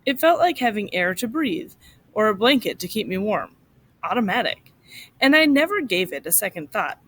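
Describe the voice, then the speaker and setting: A woman reading a short story aloud to children in an expressive, instructive way, like a teacher. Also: mid-range voice, moderate pace.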